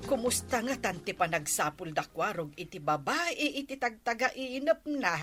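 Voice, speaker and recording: A woman's voice: mid-range voice, sing-song, moderate pace, a bit bright, soft background music. A woman playing a chatty, kind-hearted village housewife in a comedy drama. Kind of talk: comedy radio drama acting in Ilocano.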